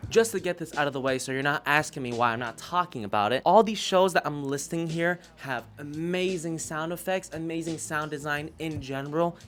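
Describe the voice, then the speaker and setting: A man's voice: on the high side, fairly quick, hardly any pauses, a bit bright. A man giving a casual, profanity-laced review in an emphatic, rambling, irreverent manner. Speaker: podcast reviewer.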